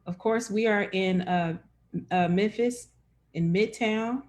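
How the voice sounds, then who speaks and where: A woman's voice: medium pitch, slow, a little mellow. A woman hosting a live-streamed information session as enrollment director, friendly and chatty with many fillers.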